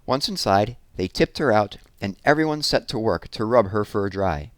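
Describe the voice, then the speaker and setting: A man's voice: lowish voice, lively intonation, moderate pace, no room sound, clean studio sound. A man reading storybooks aloud for children in a storytelling style. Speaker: storybook reader.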